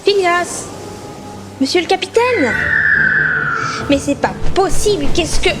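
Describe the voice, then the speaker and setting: Woman playing a very high-pitched, indignant young nymph. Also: animated, takes it slowly, loud music behind the voice, heavily acted. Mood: jealous rage. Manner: indignant, theatrical. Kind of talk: amateur audio drama acting.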